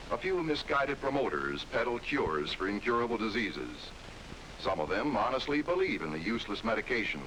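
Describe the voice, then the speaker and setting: A man's voice: fairly low pitch, fairly bright tone, slight background noise, poor audio. An American man reading scripted 1960s documentary narration with an emphatic, authoritative delivery on an old film soundtrack.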